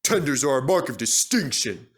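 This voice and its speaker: A man's voice: medium pitch, very animated, moderate pace, vocal fry. Man doing a pompous, jealous steam engine. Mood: jealous, huffy. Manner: pompous, huffy.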